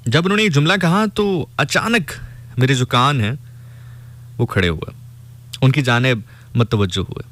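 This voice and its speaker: A man's voice: mid-range voice, expressive, unhurried, pauses often, a bit bright. Pakistani man telling a story on radio.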